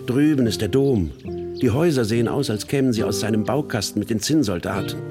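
A man narrating a literary radio play in a measured storytelling manner. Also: medium pitch, lightly acted. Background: loud plucked guitar music.

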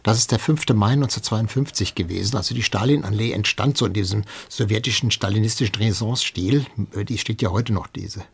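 Lower-pitched husky man explaining. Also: fast talker, hardly any pauses. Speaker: expert guest. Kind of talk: explanatory interview talk.

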